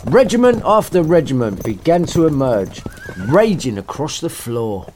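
A man's voice: a little high, sing-song, normal speed, darkish tone, a little hiss or hum. A man narrating a storybook comically, with irreverent, sarcastic, sweary asides and a theatrical manner. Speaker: story reader and podcast host.

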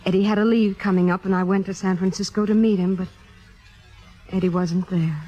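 Woman as earnest young American fan, middle pitch, hesitant, wistful, muffled old radio recording, music behind. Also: fairly even intonation, a little fast, mellow, lightly acted. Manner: hesitant, self-effacing, wistful. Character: humble, earnest, soft-spoken young female theater devotee. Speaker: radio actor. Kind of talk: 1950s radio drama acting.

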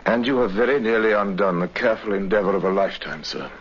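Man playing deep-voiced, courtly English criminal mastermind. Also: close to an everyday voice. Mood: aggrieved, threatening. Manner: formal, unctuous, deliberate. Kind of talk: 1950s radio drama acting. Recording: muffled, faintly hissy 1950s radio recording.

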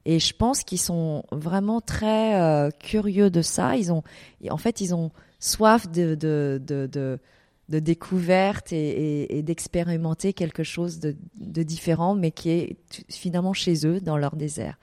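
Hesitant low-voiced woman in an interview. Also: unhurried, dark tone, dry recording, studio quality. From Vietnam. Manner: hesitant, many fillers and restarts. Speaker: desert events business owner. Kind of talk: interview answers.

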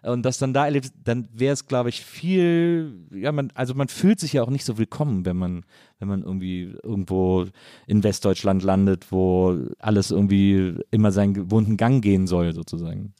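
Mellow, lowish-voiced man, casual and musing. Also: moderate pace, no echo, studio quality. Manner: informal, musing, roundabout phrasing. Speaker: podcast host. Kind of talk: casual conversational interview.

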